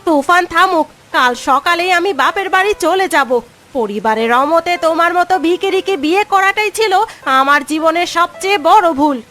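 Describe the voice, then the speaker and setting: A woman playing a bitter, sharp-tongued cartoon wife, scolding and complaining sarcastically. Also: very high-pitched, moderate pace. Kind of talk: Bengali moral-story cartoon acting. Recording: music under the voice.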